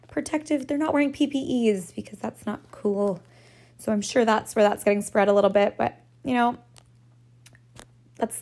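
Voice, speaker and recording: Chatty woman with a raspy morning voice. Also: medium pitch, darkish tone. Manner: chatty, rambling, laughs often, swears casually. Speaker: podcast host. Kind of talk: casual solo podcast chat.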